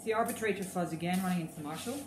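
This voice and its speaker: A woman in her forties chatting casually to the camera between guitar riffs, relaxed and self-deprecating, in a small room at home. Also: fairly low pitch, racing. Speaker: guitarist demoing an effects preset. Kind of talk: casual gear demo talk to camera. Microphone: camera on the floor, looking up. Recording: roomy echo, loud electric guitar behind.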